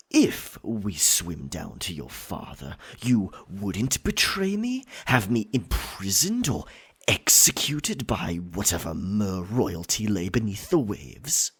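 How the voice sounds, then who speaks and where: A man playing a possessive, roguish pirate captain in an ASMR roleplay, theatrical, teasing and dominant, with a husky, breathy voice. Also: low-pitched, heavily acted. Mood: suspicious, stern.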